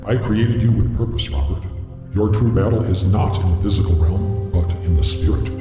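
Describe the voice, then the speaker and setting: A man's voice: fairly low pitch, moderate pace. A man playing a benevolent, almighty God in a fantasy audio drama, solemn and reassuring, with heavy divine reverb. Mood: calm reassurance. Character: benevolent almighty God, fatherly, calm and majestic. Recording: long hall reverb, loud music.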